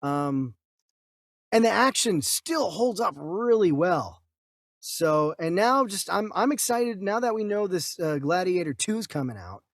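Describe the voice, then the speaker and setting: Male podcast co-host, thirties, high-pitched voice, dry close sound, rambling and enthusiastic in casual movie talk. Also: sing-song, unhurried, no room sound. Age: 30s. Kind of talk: casual film review chat.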